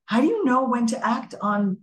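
A woman with a fairly high voice chats as a podcast co-host. She tells things in an animated, casual way, slipping into quoted remarks. The recording has a clearly echoey, roomy sound. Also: normal speed. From Colombian American, United States. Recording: echoey room.

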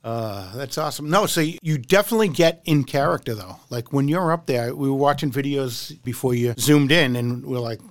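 A man hosting a podcast, asking an interview guest questions in an easygoing, soft way. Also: mid-range voice, a little fast, hardly any pauses, dry recording. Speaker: podcast host.

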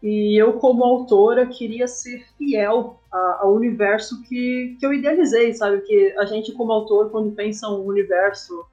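A woman's voice: a little high, fairly even intonation, moderate pace, few pauses, mellow, lo-fi sound, soft background music. A Brazilian woman speaking as a guest in a casual podcast interview, explaining her work and often checking in with short tag questions. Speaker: guest, comic artist and game writer.

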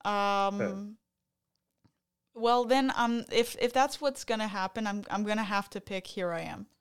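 Woman co-host, Canadian, mid-pitched, loose chatty delivery full of ums. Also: measured pace, clean tone. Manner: chatty, hesitant with many fillers. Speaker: podcast co-host. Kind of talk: casual chat.

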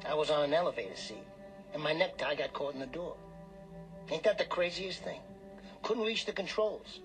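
Guy acting pushy small-time hustler in a radio play, high voice, cocky slangy needling, music under it. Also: lively intonation, moderate pace, close to an everyday voice. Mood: probing.

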